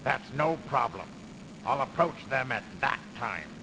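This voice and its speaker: Gravelly, scheming industrialist. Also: male voice, medium pitch, lively intonation, a little slow. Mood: businesslike, confident. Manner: insinuating, persuasive, grandiose. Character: crooked, smooth-talking industrialist man, calculating, persuasive schemer. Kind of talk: theatrical video game voice acting. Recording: noisy early CD game audio.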